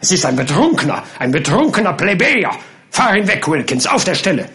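Male narrator as pompous landowner captain, raised pitch, loud and barking. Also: lively intonation, normal speed. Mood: furious. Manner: barking, irate, commanding.

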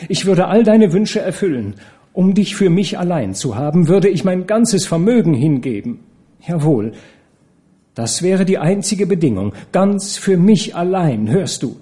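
A man's voice: a little high, animated, unhurried, warm tone, pitched above the performer's own voice. A man voicing a lovesick nobleman who pleads urgently and stammers. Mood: pleading.

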